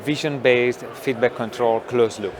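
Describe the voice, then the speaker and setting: A middle-aged man, a company founder explaining technology in an interview, thoughtful with many pauses and fillers. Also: medium pitch, fairly even intonation, crowd noise. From Italy. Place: trade show booth studio.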